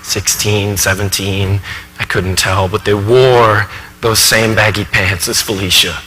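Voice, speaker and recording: A man performing a dramatic stage monologue as an earnest, anxious thirty-year-old college professor, confiding in halting, emphatic asides. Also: lowish voice, level tone, pushed and bright. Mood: haunted, hesitant. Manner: conversational asides, halting, emphatic, self-questioning. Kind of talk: dramatic monologue for speech competition. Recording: echoey hall, faint background noise.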